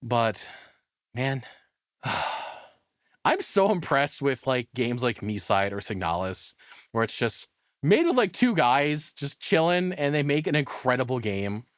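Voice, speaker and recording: A man's voice: medium pitch, sing-song, slow pace, no echo, clean studio sound. A man giving casual, enthusiastic, rambling live reaction commentary as a streamer.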